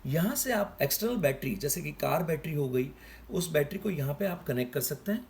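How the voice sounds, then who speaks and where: A man with a slightly high voice presents a product demonstration. He explains things step by step and addresses viewers as friends. There is noticeable background noise around his voice. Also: animated, fairly quick, darkish tone. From India. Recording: clear background noise and ambience.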